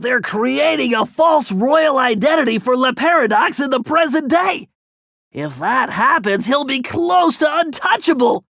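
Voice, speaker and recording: A nerdy young male turtle from an animated video game, speaks in a very high, nasal voice with a creaky edge. He is bookish and anxious, giving earnest, precise mission briefings. The sound is dry and close. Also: unhurried, no echo, full character voice. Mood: explaining, studious.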